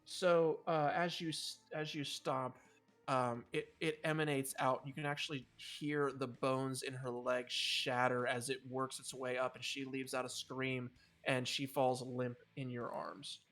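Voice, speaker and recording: A man's voice: mid-range voice, flat delivery, measured pace, a bit bright, soft background music. A man narrating a tabletop game as game master in a casual, loose, improvised way. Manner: casual, swearing.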